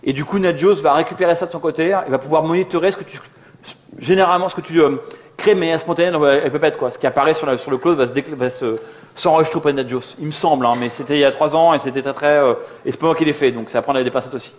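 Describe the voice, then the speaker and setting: A man's voice: a little high, very fast. A man speaking casually and with self-deprecating slang as a conference speaker answering audience questions. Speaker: conference speaker, software developer. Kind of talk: informal conference Q&A.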